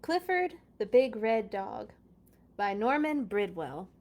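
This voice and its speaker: A woman reading a children's picture book aloud in an expressive, playful way, with chatty casual asides. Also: mid-range voice, slow.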